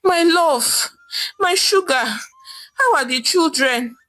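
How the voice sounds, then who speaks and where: A man's voice: very high voice, animated, a little slow, pushed and bright, pitched above the performer's own voice, exaggerated voice, rough recording. A woman voicing a pompous jailed man with a Nigerian accent, whiny and pleading with his wife.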